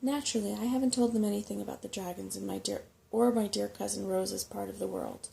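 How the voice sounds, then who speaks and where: Female reader as small male rat mapmaker for a storybook: portentous, fussy, grasping, soft-spoken, background noise. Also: medium pitch, steady tone, fast, gravelly, low-quality recording. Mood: conspiratorial, offended. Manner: portentous, fussy, easily affronted.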